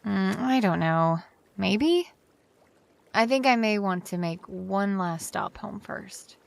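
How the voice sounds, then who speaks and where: A woman's voice: mid-range voice, takes it slowly, creaky, dry recording. An actress playing an amazed, curious young woman visiting a sci-fi city in an audio drama. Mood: hesitant, friendly. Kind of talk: full-cast audio drama acting.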